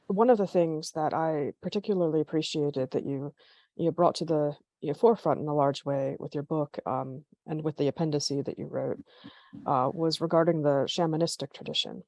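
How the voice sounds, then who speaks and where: Low-voiced enthusiastic woman host. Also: normal speed, a little mellow, no echo. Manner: enthusiastic, many "you know" fillers. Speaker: podcast host and interviewer. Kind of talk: conversational interview.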